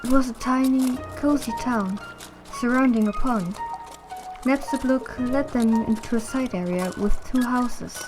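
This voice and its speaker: A woman's voice: fairly high pitch, lightly acted. A woman reading scripted story narration as the narrator of an audio drama.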